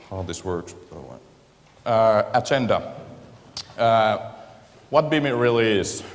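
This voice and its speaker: A man from Finland with a Finnish accent, speaking as a guest speaker at a conference, hesitant as he searches for words in a second language. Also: low-pitched. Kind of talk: conference presentation. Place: large lecture hall. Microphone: handheld microphone. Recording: reverberant lecture hall.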